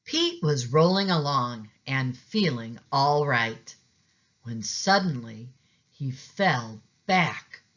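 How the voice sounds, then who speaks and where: Very slow, expressive woman storyteller. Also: very deep voice. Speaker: preschool teacher reading aloud. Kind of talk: expressive children's storybook narration. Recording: slightly dull, lo-fi sound.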